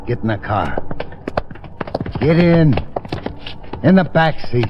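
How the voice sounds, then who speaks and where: An actor playing a brutish gangster goon, barking and bullying, in a 1950s radio drama. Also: male voice, mid-range voice, lively intonation, slow pace. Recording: dull, noisy old radio recording.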